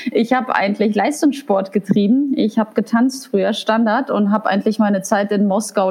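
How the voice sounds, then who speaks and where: A woman's voice: mid-range voice, darkish tone. A middle-aged woman from Poland, a guest in an interview, talking candidly and casually with many fillers and restarts. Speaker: guest, head of a transit authority.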